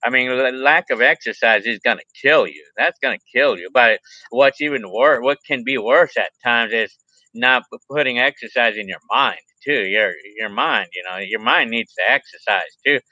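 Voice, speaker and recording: A man in his forties chats on a live stream and drifts from one viewer comment to the next. His tone is sharp and tense, and he keeps circling back over the same thoughts. The sound is dull and lo-fi, close and dry with no room around it. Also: mid-range voice, moderate pace, no room sound. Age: 40s. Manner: rambling and repetitive, restarts phrases. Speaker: livestream vlogger. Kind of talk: casual live chat reading viewer comments.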